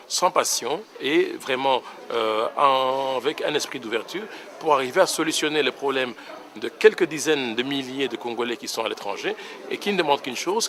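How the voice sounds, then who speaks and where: A man's voice: medium pitch, a bit bright, a little hiss or hum. A man on a panel speaking off the cuff, hesitant at first with restarts before pressing his point. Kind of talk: spontaneous discussion.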